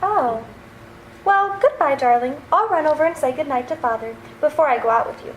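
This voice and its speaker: Woman acting a very high-voiced sweetheart. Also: lively intonation, fairly quick, poor audio. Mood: affectionate. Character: bright, affectionate young sweetheart woman. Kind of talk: old-time radio play acting. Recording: slight background noise.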